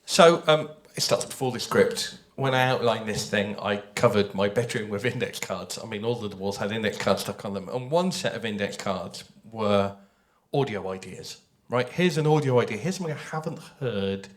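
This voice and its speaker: A man talking informally to an audience as a writer on a panel, animated and conversational, often checking in with questions. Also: on the low side, normal speed, hardly any pauses. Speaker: audio drama writer on a panel.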